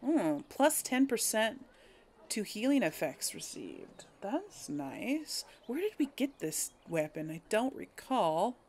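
A woman's voice: a little high, sing-song, takes it slowly, a little mellow. A woman giving casual game commentary, thinking aloud in a hesitant, musing way as she hosts. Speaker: gaming video host.

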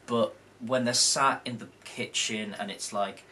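A young man with a croaky voice chatting casually at home about a film, rambling and correcting himself as he goes. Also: mid-range voice, fairly even intonation, a little slow, pushed and bright, slight background noise. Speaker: co-host vlogger. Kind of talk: casual film review chat. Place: bedroom at home.

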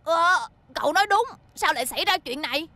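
A woman's voice: sits high, fairly quick, no echo, heavily acted. A woman voicing an earnest, spirited young child in a cartoon dub. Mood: suspicious. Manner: questioning, earnest, expressive. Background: background music.

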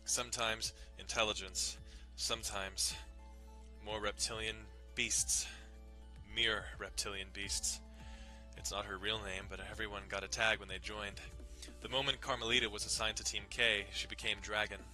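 A man's voice: on the low side, flat delivery, normal speed, pushed and bright, faint music behind, poor audio. A man giving a dramatic scripted narration of a visual novel. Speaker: narrator doing dramatic readings.

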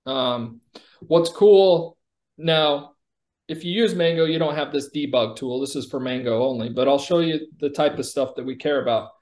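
A man walks through a software product in a casual, conversational way. He explains features informally, as a company executive would in a demo on a video call. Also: fairly high pitch, normal speed.

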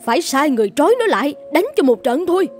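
A middle-aged official's wife in a folk-tale cartoon. She is bossy and fussy, with a somewhat high, lightly bright voice and an insistent way of talking. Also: big pitch swings, quick pace, faint music behind. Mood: scolding.

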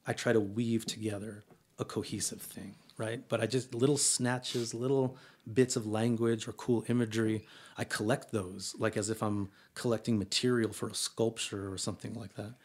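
Guest poet, male, late 30s, from El Paso: mid-range voice, excitable, filler-heavy chat. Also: on the low side, normal speed, slightly rough. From El Paso, Texas, US. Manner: energetic, filler-heavy, keeps adding "right?". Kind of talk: casual interview conversation.

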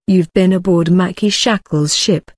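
A woman's voice: on the low side, a little slow, a little mellow, no room sound, studio quality. A woman voicing a stern, resolute female warrior guardian in a motion comic, with a solemn, commanding manner. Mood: pointed, persuasive. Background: background music.

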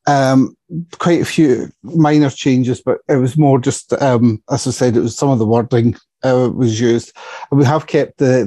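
Mellow-voiced man on a video call. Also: medium pitch, dry recording. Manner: steady, explanatory, with fillers and restarts. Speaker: specialist pharmacist. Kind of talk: panel presentation.

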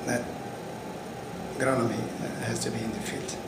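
A man's voice: medium pitch, little pitch movement, normal speed. A man in his fifties from Morocco, answering interview questions about his career in a hesitant way, with many fillers and restarts. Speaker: agricultural researcher. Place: indoor room with curtain backdrop. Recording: roomy sound with air-conditioning hum.

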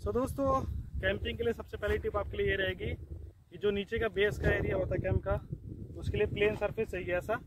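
A man in his thirties from India, a camping vlogger who chats casually while showing each step of pitching a tent on an open hillside. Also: fairly even intonation, fairly quick, heavy background noise. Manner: chatty, repeats "so doston", shows each step. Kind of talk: casual how-to vlog. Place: open hillside meadow outdoors.

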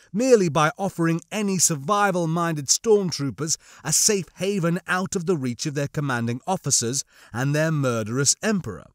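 Higher-voiced man narrating fiction. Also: dry recording, clean studio sound. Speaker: audiobook narrator.

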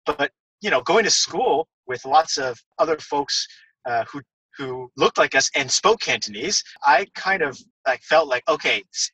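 A man's voice: fairly high pitch, lively intonation, measured pace, bright, forceful tone, husky, no echo, far from the mic, poor audio. A man in his thirties from Hong Kong, a guest educator talking thoughtfully in a casual podcast with many fillers. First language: English.

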